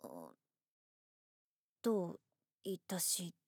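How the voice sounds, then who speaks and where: 女性声優が、ぶっきらぼうで不器用に真剣な女子高生を演じている。. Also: female voice, fairly low pitch, steady tone, drawn-out words, darkish tone, husky, no room sound, clean studio sound, heavily acted. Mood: earnest. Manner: curt, awkwardly earnest. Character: blunt, awkward high school girl. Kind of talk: voice drama acting.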